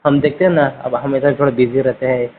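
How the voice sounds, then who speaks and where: A man's voice: medium pitch, flat delivery, a little fast. Male, eastern Indian Hindi accent, insistent questioner, casual phone talk, narrowband with some background noise. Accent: eastern Indian Hindi, Bihar-Jharkhand region. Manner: insistent, pressing with repeated questions. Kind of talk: casual private phone conversation. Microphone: phone line. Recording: noisy, narrowband phone call recording.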